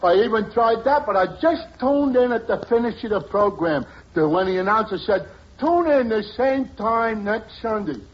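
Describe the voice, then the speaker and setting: A man's voice: high-pitched, expressive, moderate pace, hardly any pauses. A man playing a dim-witted, guileless tavern regular, hesitant and naive, in 1940s radio comedy style. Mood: puzzled. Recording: dull vintage radio, faint noise.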